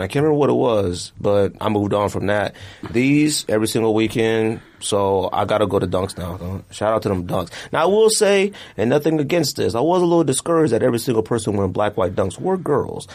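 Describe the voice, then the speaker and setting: A man's voice: on the low side, a little mellow. A man hosting a casual podcast in a studio, talking in loose slang and repeating himself for emphasis. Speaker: podcast host. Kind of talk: casual sneaker podcast banter.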